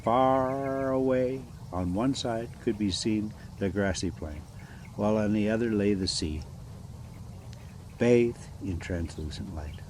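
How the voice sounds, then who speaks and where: A man reading a classic adventure novel aloud as an amateur storyteller, in a halting, phrase-by-phrase way. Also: on the low side. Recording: steady background noise.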